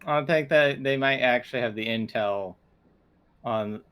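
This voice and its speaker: A man's voice: mid-range voice, measured pace, a bit bright, clean tone. A man talking casually as a player in a tabletop role-playing game.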